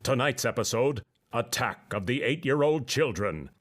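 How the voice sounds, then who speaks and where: A man voicing a bombastic superhero-show announcer in a parody commercial, grandiose and drawn-out. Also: medium pitch, lively intonation, natural voice. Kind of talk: mock-heroic cartoon commercial announcing. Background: music.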